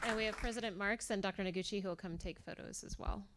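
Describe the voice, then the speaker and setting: A woman's voice: mid-range voice, fairly even intonation, fast talker, heavy background noise. A woman presenting awards at a ceremony, making announcements and introductions.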